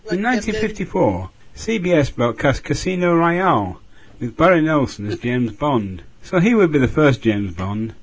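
A man's voice: on the high side, big pitch swings, moderate pace, few pauses, breathy edge. A man leaving a recorded message for a podcast, full of trivia and punning asides. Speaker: listener sending an audio message. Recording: noisy home recording.

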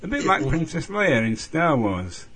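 A man's recorded voice message for a podcast, riddled with trivia and wordplay. His voice rises and falls a lot, a touch higher than most men. The recording carries clear background noise. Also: measured pace. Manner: punning, deadpan asides. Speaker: listener sending an audio message. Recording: noisy home recording.

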